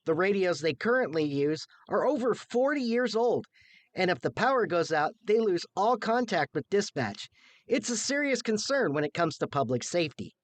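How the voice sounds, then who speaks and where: High-pitched, lively American male news reporter. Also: normal speed. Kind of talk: scripted news narration.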